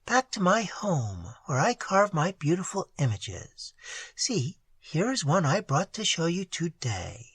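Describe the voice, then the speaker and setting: A reader voicing a shy, gentle young woman sculptor, soft and whispery, with an innocent, wondering manner. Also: mid-range voice, sing-song, unhurried, slightly rough, no echo, exaggerated voice. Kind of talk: audiobook dialogue.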